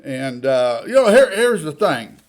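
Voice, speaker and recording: A man's voice: on the high side, big pitch swings, unhurried. A man giving informal, opinionated news commentary in a blunt, folksy, indignant manner, often throwing out rhetorical questions. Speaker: online commentator.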